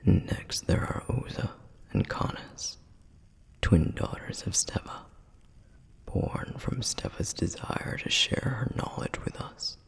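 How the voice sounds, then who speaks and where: A man voicing a protective, duty-bound male flame demon in an ASMR roleplay, telling lore in a soft, breathy whisper. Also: low-pitched, huge pitch swings, takes it slowly, full character voice. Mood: hushed, musing.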